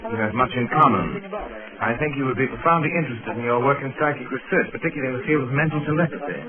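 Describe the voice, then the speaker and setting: A man performs a polished gentleman sleuth in a 1930s radio mystery. His mid-pitched voice is deliberate and thoughtful, heard through a thin, tinny recording with a murmur behind. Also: a crowd in the background, lightly acted. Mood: suave. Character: suave, thoughtful gentleman amateur criminologist and secret crimefighter. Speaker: lead radio actor. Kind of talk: 1930s radio drama acting.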